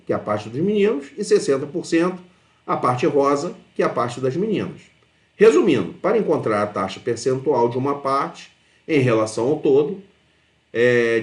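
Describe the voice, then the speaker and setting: The voice sits in an echoing room and belongs to a Brazilian man teaching informally. His intonation swings widely on a slightly raised pitch. He explains one step at a time and often tags his phrases with small check-in questions. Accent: Brazilian Portuguese. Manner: conversational, often checks understanding with short tag questions. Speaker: math teacher. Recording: roomy, echoing voice.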